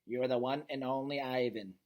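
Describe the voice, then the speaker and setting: A man's voice: mid-range voice, steady tone, moderate pace, darkish tone, lightly acted. A man voicing a loyal, bossy little male dog in a storybook reading, blunt and insistent but encouraging. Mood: commanding.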